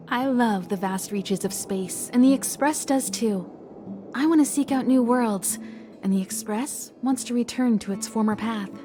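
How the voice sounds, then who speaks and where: A woman playing a composed, encouraging mentor in a video game, speaking in a gentle, reflective and reassuring way. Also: fairly high pitch, lightly acted. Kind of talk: dramatic video game dialogue. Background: background music.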